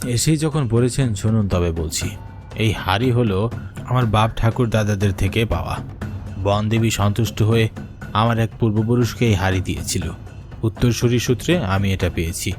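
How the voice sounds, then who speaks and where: A man's voice: on the low side, moderate pace, over music, natural voice. A man voicing a kindly, proud village innkeeper in a fairy-tale cartoon, speaking in an earnest, heartfelt way. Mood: proud, explaining. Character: kindly village uncle, proud rice-hotel keeper with magic pot.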